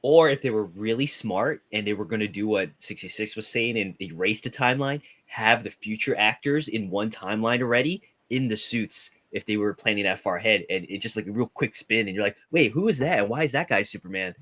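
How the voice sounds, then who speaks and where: A man's voice: on the low side, brisk, hardly any pauses, a bit bright. A man in casual film discussion, speaking bluntly and with strong opinions as a show panelist. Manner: blunt, opinionated, some swearing.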